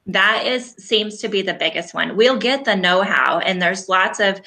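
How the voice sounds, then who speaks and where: A woman's voice: medium pitch, normal speed, bright, forceful tone. A woman hosting a live interview, enthusiastic and encouraging toward her guest. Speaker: host.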